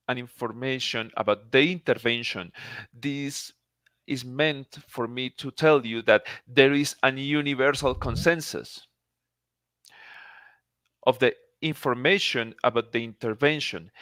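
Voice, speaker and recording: A man's voice: mid-range voice, bright, forceful tone, no room sound, studio quality. A man from Colombia, a native Spanish speaker with a Colombian Spanish accent, gives an earnest, formal conference lecture as a psychiatrist, often restarting his phrases.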